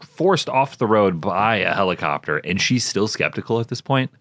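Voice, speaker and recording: Animated low-voiced man, joking podcast co-host. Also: moderate pace, no room sound. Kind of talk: casual joking banter.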